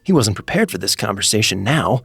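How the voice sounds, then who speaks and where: A man narrating a scripted comedic story in an expressive, theatrical way, with music playing behind him. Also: lowish voice, fairly quick, vocal fry, husky, dry recording, studio quality. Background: background music.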